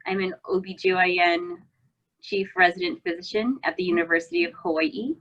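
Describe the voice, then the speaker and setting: Low-pitched woman in her thirties, earnest testimonial from a doctor. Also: fairly quick, a bit bright, highs cut off. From California. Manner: earnest, reflective, with fillers. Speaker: physician. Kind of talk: video testimonial.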